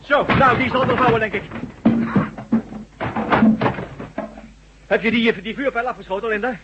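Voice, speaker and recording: A man's voice: extremely high pitch, fast, very noisy. An actor playing a hospitable, streetwise man who takes charge of his household in a radio drama, speaking colloquially. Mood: urgent, commanding. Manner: animated, colloquial, take-charge. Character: hospitable, streetwise man turned bossy defender of home. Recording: dull lo-fi radio recording, music behind.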